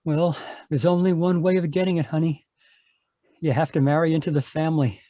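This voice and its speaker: A man's voice: a little high, fairly even intonation, fairly quick, dark tone, no echo, lightly acted. An actor playing a gallant, wounded young man in an old-time radio adventure. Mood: weak, tender. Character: wounded young prospector, gallant male sweetheart.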